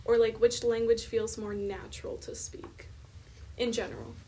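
A woman's voice: fairly high pitch, steady tone, moderate pace, mellow. A young woman co-hosting a podcast, asking guests questions in a casual way. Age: young adult. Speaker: podcast co-host, interviewer. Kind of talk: casual interview. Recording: slightly distant, faint noise.

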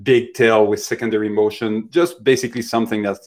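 Low, even-toned man, thoughtful. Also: a little fast. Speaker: animation expert answering questions. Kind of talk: casual unscripted Q&A answers.

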